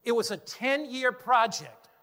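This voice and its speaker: Earnest preacher in a reverberant hall. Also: male voice, very high-pitched, lively intonation, a little slow, pushed and bright. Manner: earnest, emphatic preaching with repeated phrases. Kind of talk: sermon.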